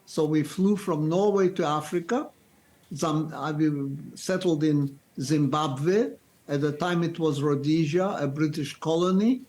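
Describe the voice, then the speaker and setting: Elderly man in his 90s, slightly high voice, measured storytelling pace. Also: a little mellow. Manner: slow, deliberate storytelling with restarts. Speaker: Holocaust survivor guest. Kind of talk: interview, personal testimony.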